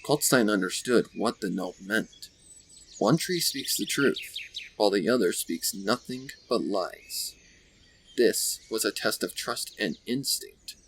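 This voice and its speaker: A man reading scripted story narration with deliberate, dramatic phrasing. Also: lowish voice, expressive, fairly bright tone. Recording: faint background noise with birdsong.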